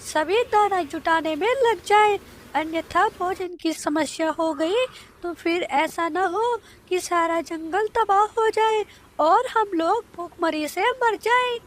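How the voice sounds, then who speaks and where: A woman's voice: very high-pitched, slow pace. A woman voicing a hopeful, earnest young female bird in a children's cartoon. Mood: urgent, concerned. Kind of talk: Hindi children's moral cartoon dubbing.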